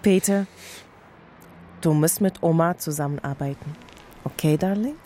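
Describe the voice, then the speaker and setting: An actress playing a secretive lover in a radio drama, speaking in a coaxing, intimate way. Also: female voice, low-pitched, unhurried, warm tone, a little hiss or hum. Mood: pleading. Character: soft, coaxing, secretive woman, the reporter's lover.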